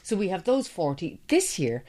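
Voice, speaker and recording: A woman's voice: lowish voice, sing-song, normal speed, mellow, a little hiss or hum. A middle-aged Irish woman, an interview guest, talking chattily and with enthusiasm about her training business. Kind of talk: casual interview chat.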